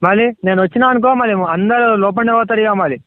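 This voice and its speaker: A man's voice: rapid-fire, no echo, lightly acted. A man playing a bullying, threatening police officer in a prank phone call, scolding informally with a Telangana accent. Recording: tinny low-fi phone line.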